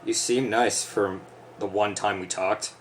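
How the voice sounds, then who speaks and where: A young man acting an awkward, self-conscious teenage boy, halting and correcting himself in a stage monologue. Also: lowish voice, steady tone, slight background noise, natural voice. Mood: awkward, regretful. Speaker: stage actor. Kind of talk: theatrical letter monologue.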